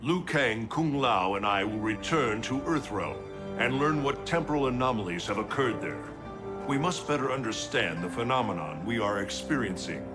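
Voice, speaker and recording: An actor playing a calm, noble protector god in a video game, formal, measured and solemn. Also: male voice, medium pitch, lightly acted. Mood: solemn, reassuring. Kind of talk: video game cutscene acting. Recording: cinematic music behind.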